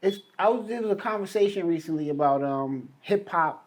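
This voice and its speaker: A man's voice: sits high, lively intonation, a little fast. An American man co-hosting a podcast, chatting casually in slangy, incredulous speech. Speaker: podcast co-host.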